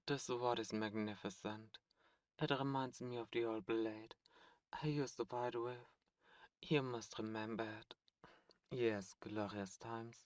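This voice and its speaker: Demon prince, voiced by a man, silky, condescending, menacing, slow-spoken, dry close mic. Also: mid-range voice, fairly even intonation, no room sound, natural voice. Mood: gracious. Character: suave, cold male demon prince. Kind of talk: ASMR roleplay audio drama.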